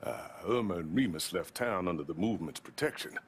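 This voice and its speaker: An actor playing an easygoing activist ally in a video game, casual and teasing. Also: male voice, low voice, animated, fairly quick, a little hiss or hum. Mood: appreciative, teasing. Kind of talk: video game cutscene acting.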